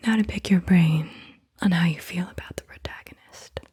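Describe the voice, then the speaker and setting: A woman hosting ASMR, speaking softly and deliberately in a breathy whisper. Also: fairly low pitch. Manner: soft-spoken, deliberate questioning. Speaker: ASMR host.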